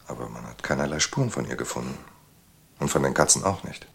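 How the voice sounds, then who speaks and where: Ein Schauspieler als gefasster Anstaltsarzt in einem Hörspiel, der bedächtig und erklärend mit rauer Stimme spricht. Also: male voice, rumbling low, animated, gravelly, a bit bright, full character voice. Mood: measured, grave. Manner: measured, explanatory. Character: composed male asylum doctor explaining a patient's madness. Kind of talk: German radio drama acting.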